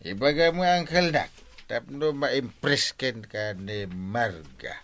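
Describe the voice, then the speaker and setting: A man's voice: mid-range voice, lively intonation, slow, a bit bright. A man playing a meddling, blustering uncle with an Ilocano accent and a stammering, exaggerated delivery in a comedy radio drama. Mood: blustering, coaxing. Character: meddling, blustering uncle giving bad courting advice.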